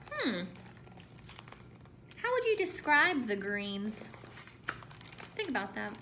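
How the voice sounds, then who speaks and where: A woman reading a storybook aloud to children as a teacher, expressive and pausing to ask questions. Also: mid-range voice, slight background noise.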